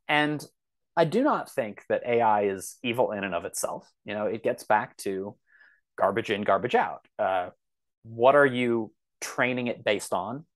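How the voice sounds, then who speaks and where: A man speaking as a podcast guest in a reflective, conversational way, often halting and circling back with asides. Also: medium pitch. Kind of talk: thoughtful conversational interview.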